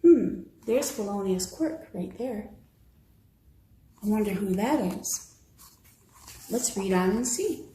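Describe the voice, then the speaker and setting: A woman's voice: mid-range voice, lively intonation, takes it slowly, long pauses, mellow, a little hiss or hum. An American woman reading a bedtime story expressively to children, in a roomy, echoing home recording. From Michigan, United States. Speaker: storybook reader.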